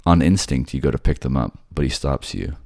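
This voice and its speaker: A man's voice: rumbling low, fairly quick, vocal fry, a little mellow, no room sound, studio quality. A man with a slightly sleepy voice reading scripted second-person story narration in an ASMR style. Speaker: voice actor narrating a roleplay.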